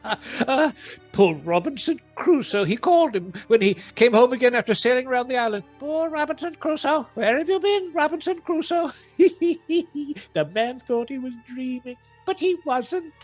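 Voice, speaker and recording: A woman acting an excitable old miser reliving his boyhood in a radio play, stammering and exclaiming in theatrical style. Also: sits high, moderate pace, soft background music. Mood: excited, delighted.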